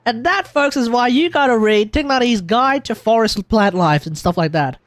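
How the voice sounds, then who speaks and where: A man with an Australian accent giving casual, excitable, joking live commentary on a game, repeating himself for emphasis. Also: very high voice, normal speed. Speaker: streamer.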